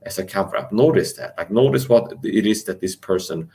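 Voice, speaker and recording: A man's voice: fairly low pitch, animated, brisk, vocal fry, warm tone. A man ranting angrily on a livestream, defiant and profane, talking straight at his listeners. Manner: angry, defiant, profane, repetitive; addresses listeners directly and challenges them. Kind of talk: ranting live monologue.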